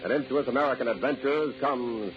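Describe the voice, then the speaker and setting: A man's voice: mid-range voice, fast, natural voice. A man playing a theatrical, portentous announcer for a golden age radio detective adventure, on a dull vintage recording. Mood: ominous, grand. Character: dramatic announcer introducing a detective adventure hero.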